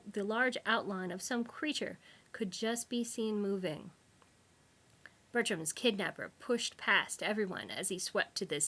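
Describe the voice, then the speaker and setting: Lady reading funny sci-fi audiobook, bright crisp-edged voice, wry delivery. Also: mid-range voice. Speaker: author reading own book. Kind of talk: scripted narration of humorous sci-fi novel.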